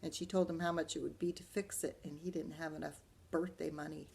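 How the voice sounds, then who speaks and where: A woman's voice: low voice, little pitch movement, a little fast, creaky, darkish tone, slight background noise. A woman librarian talking young children through a storybook, explaining and asking them questions as she goes. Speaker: school librarian reading to children. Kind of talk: animated children's storybook read-aloud with asides.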